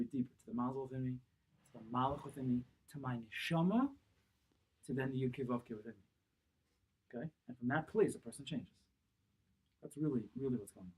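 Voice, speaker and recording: A man with a husky, unpolished voice at a middling pitch teaches a religious text as a rabbi would. He explains it phrase by phrase, posing short questions to his listeners and answering them himself. Key words come back again for emphasis. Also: darkish tone, poor audio. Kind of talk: Torah class, explaining a text. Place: small synagogue study room, seated at a table. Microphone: camera a few feet away across the table.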